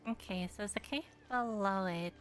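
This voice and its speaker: A woman's voice: mid-range voice, normal speed, soft background music. A woman game streamer giving casual live commentary as she reacts to a story.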